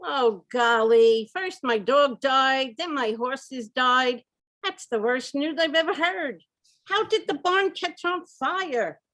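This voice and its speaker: Woman playing a high-pitched, distraught rich mansion owner. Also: slow pace, a bit bright. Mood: alarmed, anxious. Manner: dramatic, incredulous, repetitive laments. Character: distraught rich mansion owner, husband, reeling from piling losses. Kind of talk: old-time radio comedy acting.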